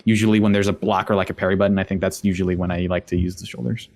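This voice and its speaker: Lowish voice guy talking fast on a podcast, lots of likes. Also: level tone, darkish tone. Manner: quick, explains with lots of fillers. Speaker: podcast co-host. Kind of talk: casual chat.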